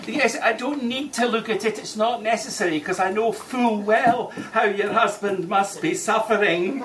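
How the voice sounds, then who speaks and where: A woman's voice: mid-range voice, normal speed, fairly bright tone, natural voice. A woman playing an exasperated male bank manager, stammering and fussy, in broad comic stage style. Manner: stammering, fussy, increasingly agitated. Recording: distant mic, faint background noise.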